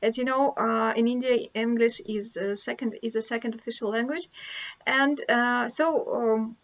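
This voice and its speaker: A woman's voice: on the high side, fairly even intonation, moderate pace, dull audio. A woman from Russia with a Russian accent, a language teacher chatting casually to camera, hesitant with many fillers and restarts.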